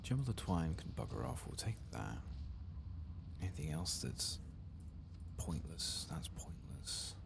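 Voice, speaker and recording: A man speaking softly in an ASMR game playthrough, often sinking into a whisper, with an unhurried, hushed, muttering delivery. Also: extremely low pitch, big pitch swings, gravelly, noisy background. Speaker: ASMR gaming host.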